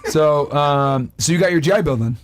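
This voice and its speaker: A man hosting a casual podcast interview, asking blunt rapid questions and answering with frequent okays. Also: a little high. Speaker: podcast host.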